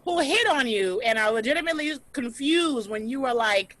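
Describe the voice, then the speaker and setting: A woman hosting a comedic chat over a video call from home, telling stories loudly and animatedly. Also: on the high side, moderate pace. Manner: animated, loud storytelling. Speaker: host.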